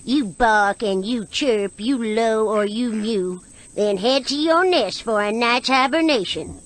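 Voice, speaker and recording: A high, piercing female cricket played by a woman rails against the court in a rhymed audio story. She is defiant, scornful and hot-tempered, declaiming her grievances with indignation. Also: slow pace, a little hiss or hum. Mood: scornful, indignant.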